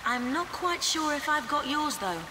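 An actress playing a cool, sardonic young adventuress in a video game, with a British accent and a dry, politely mocking way of talking. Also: female voice, high voice, expressive, moderate pace. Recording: echoey cave, running water hiss.

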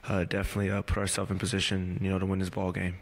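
A man in his thirties answering reporters at a postgame press conference, measured and halting, with many fillers and restarts, speaking into a table mic in a press room. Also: deep voice, monotone, big-room sound, slight background noise. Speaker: pro basketball player at postgame press conference. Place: press room before a team backdrop. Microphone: table mic on a stand.

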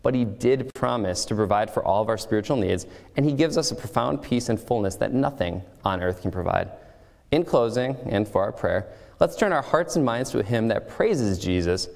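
A man's voice: on the low side, animated, moderate pace, gravelly, darkish tone. A young man giving an earnest devotional sermon at a school chapel podium, reading scripture aloud with emphasis. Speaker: chapel speaker. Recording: echoey hall with long reverb.

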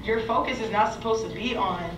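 A lively young woman chats casually on a panel, chiming in to agree. Her voice is video playback in a roomy hall, far off with noise behind. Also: fairly high pitch, brisk. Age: young adult. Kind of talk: panel discussion. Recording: video played in an echoey hall, off-mic, noisy.

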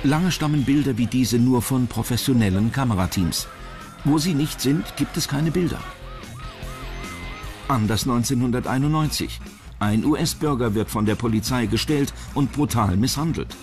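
A man narrates a television countdown documentary in a scripted delivery. His voice sits at a medium pitch, with music playing clearly behind him. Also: normal speed. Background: background music.

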